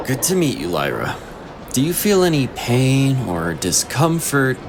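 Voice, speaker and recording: A man playing a strong, kindly, easygoing elite guardian in a fantasy audio drama, gently insistent and reassuring. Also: medium pitch, huge pitch swings, measured pace. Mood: warm, easygoing. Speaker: voice actor. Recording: noisy outdoor city ambience behind.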